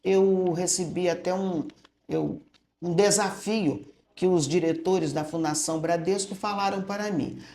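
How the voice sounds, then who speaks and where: An older woman, a retired school director, telling stories from her early years in a relaxed way and often tagging her sentences with "né?". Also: lowish voice, unhurried. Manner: storytelling, tags statements with "né?". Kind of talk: reminiscing interview answers. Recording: echoey room.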